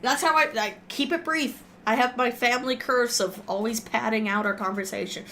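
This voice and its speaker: A woman's voice: a little high, normal speed, fairly bright tone, slight background noise. A woman giving casual, rambling, sarcastic commentary as she streams a game and reads its text aloud. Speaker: streamer, host.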